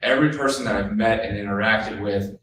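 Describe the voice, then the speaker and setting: A man's voice: lowish voice, brisk, a bit bright. A man from San Antonio, speaking earnestly as a filmmaker on a panel. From San Antonio, Texas. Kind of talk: panel discussion. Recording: echoey room, distant mic, faint noise.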